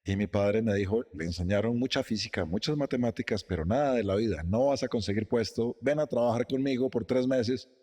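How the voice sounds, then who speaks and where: A middle-aged man, a company president, telling his story in a measured, reflective way during an interview in his office. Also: medium pitch, mellow, slightly rough, long reverb tail. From Costa Rica, raised in Guatemala and Colombia.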